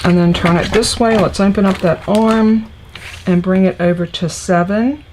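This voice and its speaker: A woman's voice: medium pitch, normal speed, darkish tone. A woman with a scratchy, congested voice, chattily explaining a papercraft project step by step as she works. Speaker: papercraft demonstrator. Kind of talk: live crafting tutorial.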